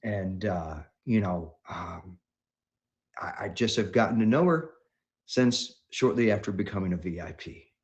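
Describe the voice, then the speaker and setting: A man's voice: deep voice, animated, unhurried. Male stream host, rambling and enthusiastic, voice swooping up and down, muffled audio. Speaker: livestream host. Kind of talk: livestream hosting.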